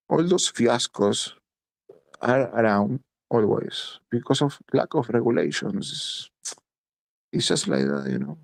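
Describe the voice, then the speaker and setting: A man's voice: medium pitch, vocal fry, a little mellow, no room sound. A man from Italy talks in English, his second language, and hammers his points home with blunt swearing and repetition. His voice sits in the middle of the range and sounds dry and close, with no room around it. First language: Italian. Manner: emphatic, blunt and swearing, repeats himself. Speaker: voice chat participant. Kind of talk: casual crypto chat.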